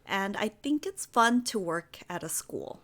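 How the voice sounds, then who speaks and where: A young woman in her twenties from the United States, a guest teacher answering interview questions in a slow, gentle, clearly spoken way. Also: mid-range voice, big pitch swings. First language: Korean.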